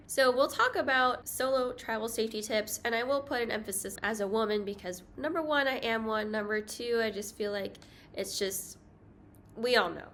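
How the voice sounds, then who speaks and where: A young woman with a higher-pitched voice gives safety tips in a casual vlog, chatty and self-deprecating, with light laughs. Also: sharp tone, a little hiss or hum. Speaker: travel vlogger. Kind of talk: casual talking-head vlog.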